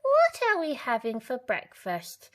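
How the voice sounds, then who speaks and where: A woman voicing a feisty old grandmother with a high, shrill voice. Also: huge pitch swings, a little slow, heavily acted. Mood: eager.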